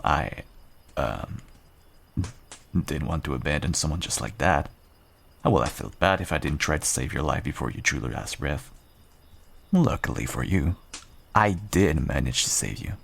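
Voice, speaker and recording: A man playing a guarded but kind half-wolf rogue in an intimate fantasy audio roleplay, unhurried and dryly understated. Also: expressive, slight background noise, exaggerated voice. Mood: guarded, caring. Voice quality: low, gravelly, husky. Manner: unhurried, dry, understated, self-deprecating.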